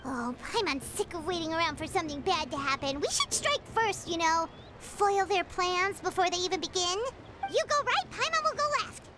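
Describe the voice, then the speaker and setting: Squeaky, excitable little fairy. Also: female voice, normal speed, background noise. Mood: suspicious. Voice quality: squeaky, childlike. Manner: speaks of self in third person, exclamatory. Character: tiny excitable childlike female fairy companion, bold and suspicious. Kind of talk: animated video game voice acting. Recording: game music behind the voice.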